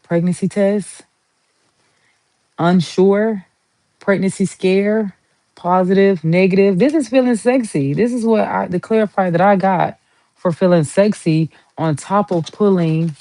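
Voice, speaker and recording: A woman with a Southern US accent talks her way through a tarot reading in a loose, rambling, playful style. She chats casually as she pulls cards, mid-pitched and conversational. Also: measured pace, long pauses, no echo. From Southern United States. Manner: chatty, rambling, playful and lively. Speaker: tarot reader.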